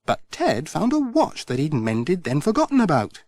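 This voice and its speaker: Male audiobook narrator for children's storybook, highly varied intonation, expressive storytelling, dry recording. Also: normal speed, dry recording.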